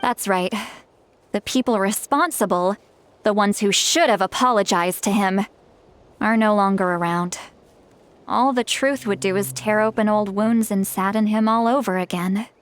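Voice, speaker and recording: A wry, knowing woman, voiced by an actress who jokingly plays the granny, even in pitch and measured. Clean game dialogue. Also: lightly acted. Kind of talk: game cutscene voice acting.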